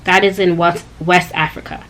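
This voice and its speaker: A woman's voice: fairly low pitch, little pitch movement, moderate pace, a bit bright, poor audio. A young woman, talking straight to her viewers in a rambling, chatty personal vlog. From Canada. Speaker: vlogger. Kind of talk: casual personal vlog talk.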